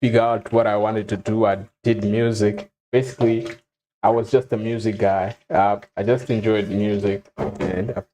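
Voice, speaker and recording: A young man from Zimbabwe telling his own story in a relaxed, casual way, with frequent ums and soft chuckles. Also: lowish voice, normal speed. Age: young adult. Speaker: vlogger.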